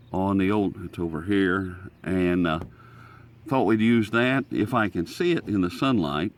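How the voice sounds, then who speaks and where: An American man hosting a casual hobby radio demonstration outdoors, talking in a folksy, rambling way. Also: lowish voice. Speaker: host. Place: outdoors in sunlight.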